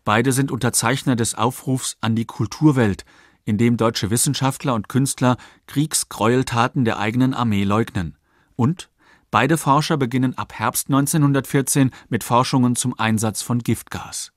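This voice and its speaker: A man's mid-pitched voice, close and dry in the studio. He narrates a radio feature from a script, factual and measured. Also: no room sound. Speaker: narrator, documentary author. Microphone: close studio microphone.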